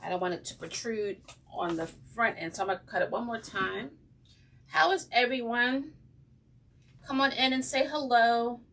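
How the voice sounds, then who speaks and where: A woman with a slightly raspy voice hosting a casual crafting livestream, chatting with viewers and saying um often. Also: fairly high pitch, moderate pace, fairly bright tone, distant mic, slight background noise. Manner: chatty, greets viewers by name, frequent ums. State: slightly raspy from breathing trouble. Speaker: craft channel host.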